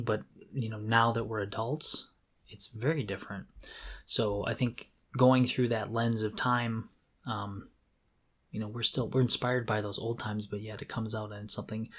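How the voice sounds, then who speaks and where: A man's voice: fairly low pitch, fairly even intonation, normal speed, a bit bright. A middle-aged man co-hosting a podcast, talking casually and reflectively as he thinks aloud. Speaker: podcast co-host. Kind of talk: casual conversational talk.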